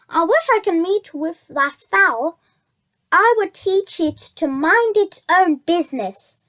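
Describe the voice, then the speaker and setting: Storybook gentleman fox, voiced by a high-pitched reader: suave, courteous, formal, unhurried. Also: heavily acted. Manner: polite, formal, halting.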